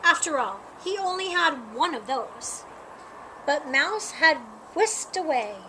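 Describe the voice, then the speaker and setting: A woman narrates a picture book for children in a high, bright voice. Her reading is expressive and unhurried, with some noise behind her. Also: low-quality recording. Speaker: storybook reader.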